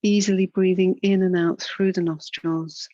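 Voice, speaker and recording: Low-voiced woman guiding a slow relaxation. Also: flat delivery, dry recording, muffled recording. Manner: soothing, unhurried, gentle guidance with pauses. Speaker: yoga nidra instructor. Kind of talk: guided relaxation and breathing instruction.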